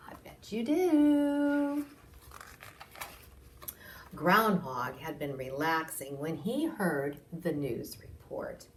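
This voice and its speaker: A woman reading a picture book aloud for children, expressively and with dramatic pauses. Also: deep voice, big pitch swings, a little hiss or hum. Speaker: library storytime reader. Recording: roomy echo, distant microphone.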